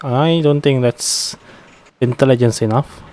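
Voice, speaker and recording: A man giving casual live gameplay commentary as a streamer, in short halting reactions with frequent tag questions. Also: medium pitch, a little mellow. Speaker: game streamer.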